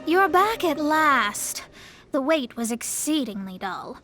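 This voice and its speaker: Young astrologer, self-important and easily flustered, very high register, lively inflection, game role. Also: female voice, takes it slowly, background noise, full character voice. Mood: bored, haughty. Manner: theatrical, formal, self-important. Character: proud young female astrologer, haughty, dramatic, easily flustered. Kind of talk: video game voice acting.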